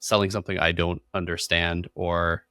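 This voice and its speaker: A man's voice: low-pitched, level tone, moderate pace, pushed and bright, clean tone, no room sound, studio quality. A man hosting a podcast, chatting casually and agreeably in an informal business interview. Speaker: podcast host.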